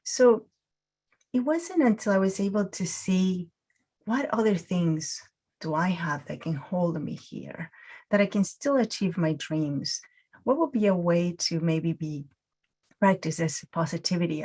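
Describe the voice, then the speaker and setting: A woman's voice: lowish voice, darkish tone. Reflective woman teaching mindfulness. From Peru. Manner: reflective, many fillers and restarts. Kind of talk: guided mindfulness talk.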